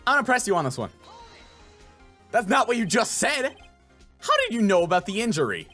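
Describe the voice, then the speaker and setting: A man voicing an earnest, excitable young male defense lawyer in a video game, emphatic and challenging, with music behind. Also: high-pitched, fairly quick, voice pushed up, exaggerated voice. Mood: accusing.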